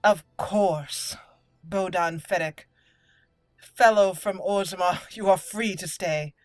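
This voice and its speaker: A woman's voice: lowish voice, darkish tone, no echo. Woman playing a formal, courteous elf mage. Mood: courteous, welcoming. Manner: formal, measured, old-fashioned phrasing. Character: proud, courteous young female elf mage raised by dwarves.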